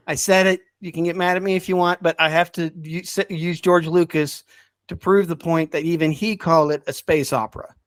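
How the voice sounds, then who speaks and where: Higher-pitched man in enthusiastic podcast chat. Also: steady tone, a little fast, no room sound. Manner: enthusiastic, chuckles while talking. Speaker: podcast guest presenting a ranked list.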